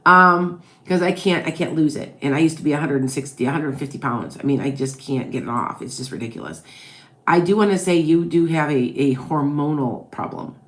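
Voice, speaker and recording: A woman's voice: sits low, lively intonation, brisk, a bit bright. A woman with a Wisconsin-Chicago blended accent, a psychic tarot reader chatting through viewers' questions on a live stream and tagging her points with "okay? all right?". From American Midwest.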